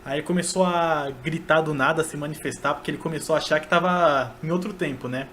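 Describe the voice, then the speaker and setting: Higher-voiced Brazilian man, hesitant interviewer. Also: steady tone, fairly bright tone, a little hiss or hum. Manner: hesitant, halting questions. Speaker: podcast host, interviewer. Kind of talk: casual interview chat.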